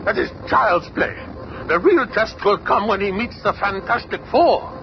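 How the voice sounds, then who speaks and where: A dismissive alien emperor gives orders in a gravelly, high voice. He is a demanding radio play tyrant on a slightly dull recording. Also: lively intonation, a little slow, noisy background. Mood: scornful, impatient. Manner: imperious, dismissive. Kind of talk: superhero radio play acting.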